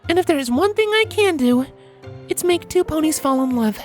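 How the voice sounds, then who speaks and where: A man's voice: extremely high pitch, animated, moderate pace, soft background music, pitched above the performer's own voice. A man voicing an earnest female pony princess in a fan fiction story reading. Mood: cheerful, confident. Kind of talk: fan fiction audiobook character voice.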